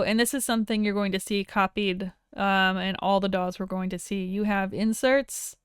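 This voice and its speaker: A woman narrating an informal software tutorial in a plain-spoken, explanatory way. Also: mid-range voice, clear, resonant tone, no room sound, clean studio sound. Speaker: audio software instructor.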